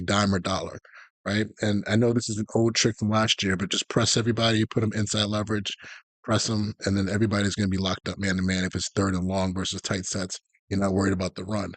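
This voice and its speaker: A man giving a live, conversational tutorial as a gaming coach, explaining tactics and often checking in with a quick "okay?" or "right?". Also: lowish voice, dry recording. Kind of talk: live explanatory commentary on football video game tactics.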